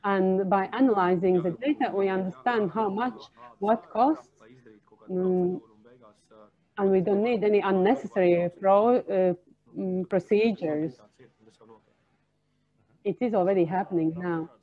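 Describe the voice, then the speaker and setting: A woman working as a simultaneous interpreter at a panel discussion, speaking in halting, separate phrases. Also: medium pitch. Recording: narrow, tinny phone-like line.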